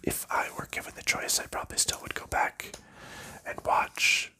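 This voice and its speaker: A man whispering softly through an ASMR board game session, chatting in a rambling, easygoing way while sorting cards. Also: moderate pace. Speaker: ASMR host.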